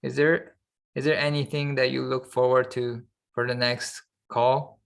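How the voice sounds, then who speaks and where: A man co-hosting a podcast in casual, unscripted chat, halting as he thinks aloud. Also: medium pitch, level tone, vocal fry, fairly bright tone, clear, resonant tone. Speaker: podcast co-host.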